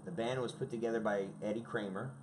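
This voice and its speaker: A man with a low, deep voice tells rambling, profane stories about old hard rock records. He talks casually and gets worked up as he goes. Faint noise sits under the recording. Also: quick pace. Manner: excitable storyteller, swears freely. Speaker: guest sharing favorite albums.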